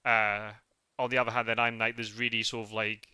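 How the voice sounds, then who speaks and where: Soft-spoken British man, bright and crisp. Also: on the low side, flat delivery, a little fast, no room sound, clean studio sound. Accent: British English with Scottish upbringing. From England. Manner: soft-spoken, rambling with many restarts. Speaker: podcast host. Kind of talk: casual conversation.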